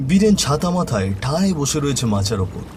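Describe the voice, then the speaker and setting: A man's voice: a little high, sing-song, a little fast, noisy background. A man narrating a scripted horror story in a dramatic storytelling style.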